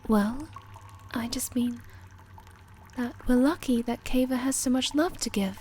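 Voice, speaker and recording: A woman's voice: high voice, a little slow, warm tone, a little hiss or hum. A woman playing a timid but loyal moth girl in an ASMR roleplay, earnest and hesitant, with a pleading, stammering delivery.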